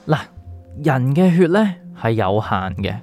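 An actor playing a calm, enigmatic doctor in a radio drama, speaking Hong Kong Cantonese in a measured, probing way. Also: male voice, mid-range voice. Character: calm, cryptic male doctor with a secret identity. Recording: music underneath.

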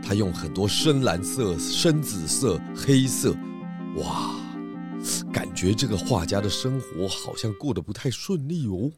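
A man playing a calm, kindly cartoon pig detective who explains things patiently and with gentle amusement in a children's radio drama. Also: lowish voice, unhurried, loud music behind the voice. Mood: thoughtful.

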